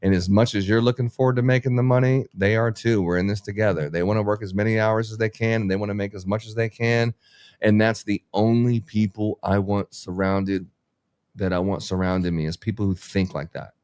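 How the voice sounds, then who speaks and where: A man's voice: lowish voice, fairly even intonation, brisk, darkish tone, no room sound. A man who owns a business, talking blunt and rambling in a casual podcast chat and swearing freely as he gives advice. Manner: blunt, profane, rambling, motivational. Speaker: business owner and podcast host.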